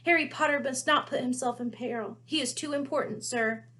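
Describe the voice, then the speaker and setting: Small servile storybook house elf, played by a woman: high, squeaky, fearful, dramatic, pleading. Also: fairly quick, bright, forceful tone. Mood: urgent warning. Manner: pleading, refers to self in third person.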